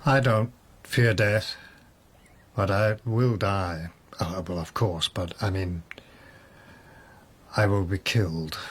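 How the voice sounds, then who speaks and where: A man's voice: low-pitched, steady tone, slow pace, long pauses, husky, exaggerated voice. An actor in a radio drama playing a mad, doomed nobleman in a rambling, wry, reflective monologue. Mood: wry, resigned. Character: mad, doomed nobleman musing on his death.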